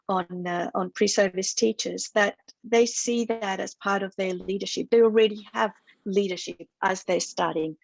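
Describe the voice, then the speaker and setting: A woman speaks on a video call as a guest researcher, earnest and reflective. She often doubles words while working out her thoughts. Her voice is mid-pitched and sits close and dry, with no room around it. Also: darkish tone, no echo, rough recording. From Chile. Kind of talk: conference talk and chat on a video call.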